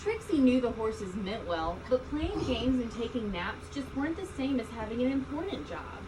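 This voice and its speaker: A woman reading a children's story aloud, expressively, with deliberate emphasis on key words. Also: a little high, very animated. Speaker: storybook reader. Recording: distant mic, loud background ambient noise.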